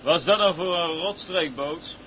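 Grumbling deckhand in harbour noise. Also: male voice, on the high side, measured pace, a bit bright. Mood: resentful. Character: grumbling, sly, insolent male deckhand. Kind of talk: radio drama acting.

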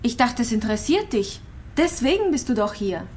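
An actress playing a lonely, gravely ill clinic patient in a radio drama, speaking plainly and wistfully. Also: female voice, fairly high pitch, sing-song, normal speed, a little mellow, slight background noise. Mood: resigned, frank. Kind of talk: naturalistic radio drama monologue.

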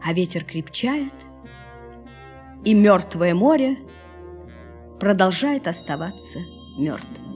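A woman's voice: medium pitch, expressive, slow, pauses often, darkish tone, soft background music. A woman giving a dramatic, poetic narration in a radio play.

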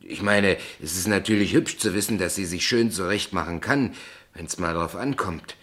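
Radio play naive small-town poet: man, lowish voice, earnest, halting, stubbornly proud. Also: fairly bright tone. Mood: awkward, hesitant. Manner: earnest, hesitant, stubborn when challenged.

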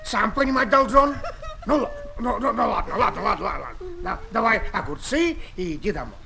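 A performer playing a kindly old grandfather watchman in a children's audio drama, gruff but soft-hearted, muttering and repeating words. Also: mid-range voice, big pitch swings, fairly quick, a crowd in the background. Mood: gently forgiving. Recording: noisy old 1970s flexi-disc recording.

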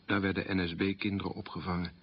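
An actor playing a sombre man recalling an abandoned wartime childhood in short, plain statements, in a low, husky voice for a radio play. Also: flat delivery, quick pace. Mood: sombre, plaintive. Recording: dull lo-fi radio recording.

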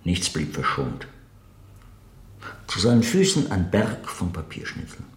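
A man delivering measured, scripted radio drama narration, with some phrases repeated. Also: sits low, big pitch swings. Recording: roomy, echoing sound.

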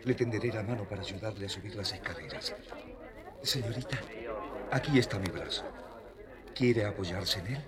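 An actor playing a gallant, smitten young prince in a children's storybook drama, courtly and eager. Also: male voice, lowish voice, moderate pace, pauses often, crowd noise, close to an everyday voice. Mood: enchanted. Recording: vintage story record, music clearly behind.

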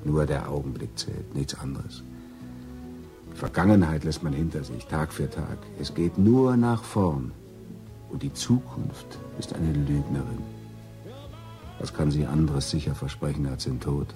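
An actor playing a brooding, fatalistic lover in a radio drama, speaking solemnly. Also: male voice, very deep voice, measured pace. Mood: brooding, intense. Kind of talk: German radio drama acting. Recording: music behind the voice.

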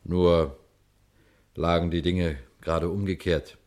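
A low male voice plays a shrewd police detective in a radio crime drama. He speaks deliberately and pointedly, unhurried as he lays out his case and turns directly to his listeners. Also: little pitch movement, darkish tone. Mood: calm, explanatory. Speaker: detective and narrator. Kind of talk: German radio crime drama acting and narration.

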